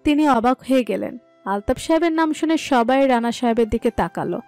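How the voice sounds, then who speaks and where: High-voiced woman narrating a story. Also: animated, brisk, darkish tone, faint music behind.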